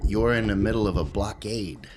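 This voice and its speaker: Deep-voiced man, firm and deliberate. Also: expressive, a little mellow, slight background noise. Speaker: tabletop role-playing player. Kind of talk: casual in-character and table talk.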